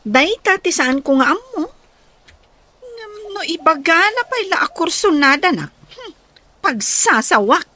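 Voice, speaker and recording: A woman's voice: extremely high pitch, very animated, unhurried, pushed and bright, exaggerated voice. A young woman with an Ilocano Filipino accent acting a sassy, defiant student and daughter in a radio comedy-drama. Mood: haughty.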